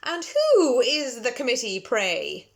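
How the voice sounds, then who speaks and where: A woman's voice: a little high, huge pitch swings, slow pace, gravelly, exaggerated voice. A woman playing a proud, proper middle-class mother, indignant and emphatic, in a storybook reading. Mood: haughty anger.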